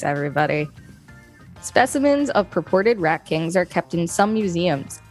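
A woman co-hosting a podcast, reading an encyclopedia page aloud with casual commentary. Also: medium pitch. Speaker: podcast co-host.

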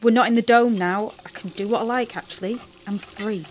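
A woman's voice: on the high side, fairly even intonation, a little fast, a little hiss or hum, natural voice. An actress playing a resentful, rebellious teenage girl in an audio drama, with a sullen, challenging manner. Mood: defiant.

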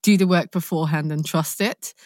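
A woman's voice: sits low, a little fast, darkish tone, no room sound, clean studio sound. A young woman with a London accent chatting casually and expressively, telling stories in a candid, slangy way. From London, England. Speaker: podcast guest, actor. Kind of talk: casual chat, animated storytelling.

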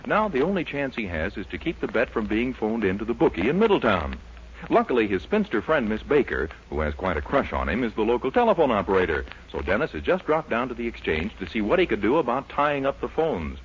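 A man's voice: mid-range voice, very animated, brisk. A man with an American accent narrating as an announcer on a 1940s radio show. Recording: dull, noisy 1940s radio with audience.

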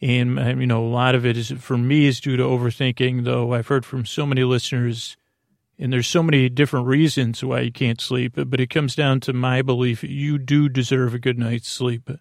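A man with a very creaky, gravelly voice rambles through a bedtime podcast monologue. His pitch stays quite flat as he hesitates, doubles back and meanders. The sound is close and dry. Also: no echo. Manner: hesitant, meandering, halting, trailing off. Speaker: podcast host.

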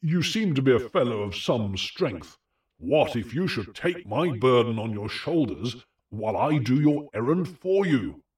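A man voicing an immense, weary giant in a storybook, boastful and hearty, with a grandly deliberate delivery. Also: sing-song, a little mellow, recorded from a distance. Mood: coaxing, measured. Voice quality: big, deep, rough voice as scripted.

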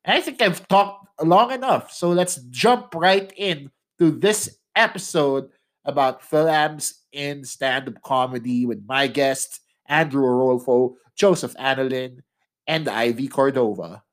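A man's voice: mid-range voice, unhurried. A man from the Philippines hosting a podcast, chatty and self-mocking as he introduces his guests. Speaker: podcast host.

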